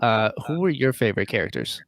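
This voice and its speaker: A man chatting casually as a podcast guest, animated and often doubling up short phrases. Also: mid-range voice, a little fast, creaky. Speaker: podcast guest, audio drama maker. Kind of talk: casual chat.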